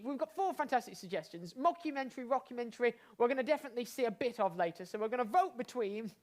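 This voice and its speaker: British male host for live improv comedy. Very high-pitched, excitable, rambling and effusive. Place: indoors, live online show.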